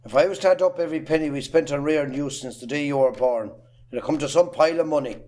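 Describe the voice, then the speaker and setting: Bullying Irish farm patriarch, played by a man, gruff and belittling, higher-pitched voice, amateur drama. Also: fast talker, darkish tone, natural voice. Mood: hard, dismissive. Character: bullying, scornful, miserly Irish farmer father. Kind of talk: amateur stage drama acting.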